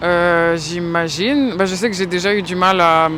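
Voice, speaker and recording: A woman's voice: lowish voice, fairly even intonation, normal speed, a bit bright, slight background noise. A young woman from Lithuania, answering a street interviewer in a thoughtful, tentative way, outdoors on a city street. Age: young adult.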